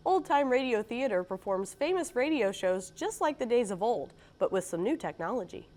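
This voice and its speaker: A woman reading smooth scripted narration to introduce a TV segment. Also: a little high, very animated, moderate pace, a little mellow.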